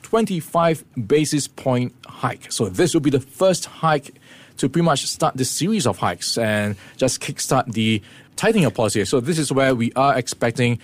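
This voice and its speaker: A man presenting a morning business news briefing, explaining the week's events in a steady way with occasional fillers. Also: medium pitch, moderate pace, dry recording. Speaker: radio presenter.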